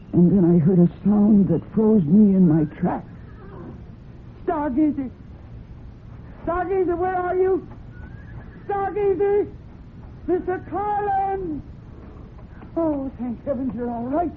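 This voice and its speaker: A thin, hissy old radio recording carries a fraught woman who fiercely protects her horses. Her voice sits high and has the heightened, breathless urgency of classic mystery drama, as if every word matters to her. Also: animated, slow pace, pauses often. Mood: frantic calling. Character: distraught, fiercely protective horsewoman narrating her own crime story. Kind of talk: dramatic old-time radio acting with first-person narration. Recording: muffled phone-like old radio recording with hiss.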